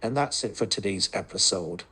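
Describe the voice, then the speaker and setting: A computer-generated clone of a man's voice, speaking in an even machine-read delivery. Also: fairly low pitch, moderate pace, breathy edge. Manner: flat synthesized clone of a man's voice.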